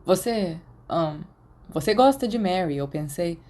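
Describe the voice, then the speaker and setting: A woman's voice: lowish voice, expressive, measured pace, mellow. A Brazilian woman voicing a reserved, awkward, kind teenage schoolboy in an audiobook, hesitant and understated. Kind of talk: audiobook character dialogue.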